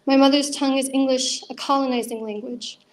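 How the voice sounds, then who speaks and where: Young woman doing spoken word at an open mic, kinda high voice, earnest steady reading. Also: slight background noise. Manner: earnest, measured reading with chatty asides.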